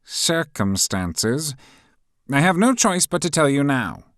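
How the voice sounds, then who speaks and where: A man puts on a high, excitable professor who gets easily flustered. He shouts with strained, loud force, his pitch leaping about. The sound is close and dry. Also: takes it slowly, no echo, exaggerated voice.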